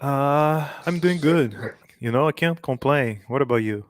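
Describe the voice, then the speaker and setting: A man's voice: mid-range voice, no echo. Chatty Brazilian man, dry sound. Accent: Brazilian Portuguese. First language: Portuguese. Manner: chatty, laughs often, halting in English. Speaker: host practicing English with strangers. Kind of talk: casual live-stream chat.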